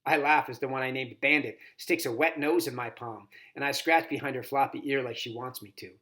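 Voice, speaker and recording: A man's voice: medium pitch, steady tone, a little fast, a bit bright. Man reading a children's novel aloud. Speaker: volunteer story reader. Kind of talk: expressive children's book read-aloud.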